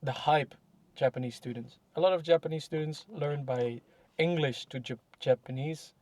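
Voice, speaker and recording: A man's voice: a little high, normal speed, a little mellow. A young man talking casually and reflectively in a podcast chat, with many fillers and self-corrections. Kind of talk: casual conversation.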